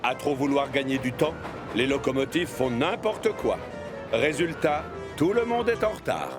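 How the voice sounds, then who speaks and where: A man's voice: mid-range voice, expressive, moderate pace. A man narrating a scripted children's story. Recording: music behind the voice. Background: cartoon music.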